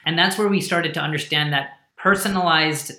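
A man's voice: fairly high pitch, normal speed, pushed and bright, roomy sound. A man whose first language is Hindi, a startup founder who explains his work as a guest in an earnest way. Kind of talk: podcast interview.

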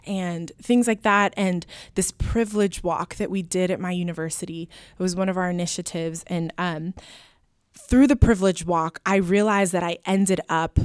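A woman's voice: mid-range voice, normal speed, darkish tone, smooth, dry recording, studio quality. A woman in her twenties from Alaska, first language Spanish, telling her story as an interview guest in an earnest way with many fillers and restarts. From Alaska, USA. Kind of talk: reflective personal storytelling.

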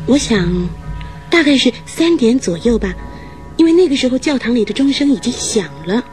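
An actress playing a troubled young bride-to-be, uneasy and insistent, in a radio drama. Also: female voice, on the high side, animated, moderate pace, a little mellow, close to an everyday voice. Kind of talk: Mandarin radio drama acting. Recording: radio drama with music behind.

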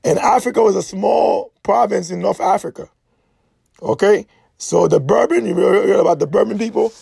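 A high-voiced man with a heavily gravelly, rough edge, lecturing with insistent emphasis. He hammers his points home and repeats them in a dry, close recording. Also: normal speed, mellow, dry recording. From Haiti. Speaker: speaker giving a history talk. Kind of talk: impassioned lecturing monologue.

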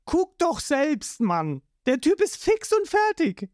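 A man voicing a loud, brash burly male cook who barks out bossy orders. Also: extremely high pitch, huge pitch swings, takes it slowly, dry recording, studio quality, voice pushed up, exaggerated voice. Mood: mocking.

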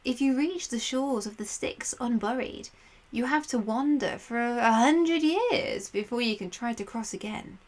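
Woman doing a chill slangy seer lady, a bit high, super casual. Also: very animated, measured pace. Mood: matter-of-fact. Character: breezy, slangy female seer guiding the hero.

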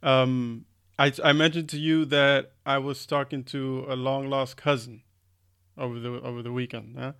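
Mid-pitched man chatting with um-filled pauses. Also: flat delivery, fairly bright tone, clear, resonant tone. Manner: thoughtful, fillers like um, swears when riled. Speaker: podcast co-host. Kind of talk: casual chat.

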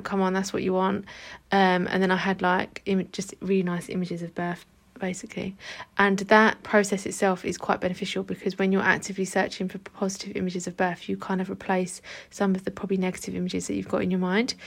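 A woman with a croaky, gravelly voice that stays fairly flat in pitch. She talks in a chatty, informal way and swears now and then. She hosts a casual solo podcast as a hypnobirthing teacher.

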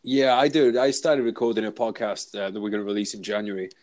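A Welsh man guesting on a podcast over a remote call, talking in a relaxed, self-deprecating way with halting restarts. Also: lowish voice. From Wales. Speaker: guest, soldier and author. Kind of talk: casual interview chat.

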